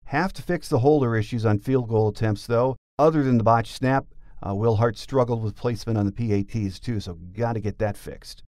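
A man's voice: mid-range voice, fairly quick, a little mellow, dry recording, clean studio sound. A man hosting a sports podcast, delivering scripted commentary as a plain-spoken, opinionated fan.

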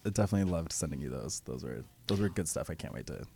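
A man who sings and raps, chatting casually as a podcast guest in a relaxed, filler-heavy way. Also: rumbling low, rapid-fire, creaky, slightly rough, faint music behind. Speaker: guest musician. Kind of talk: casual chat.

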